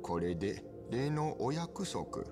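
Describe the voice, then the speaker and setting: Slow, melodic, menacing triad boss. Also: male voice, mid-range voice, sing-song. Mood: probing, demanding. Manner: formal, courteous, coldly threatening. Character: polite, menacing male triad gang boss. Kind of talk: dramatic video game acting. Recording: music behind.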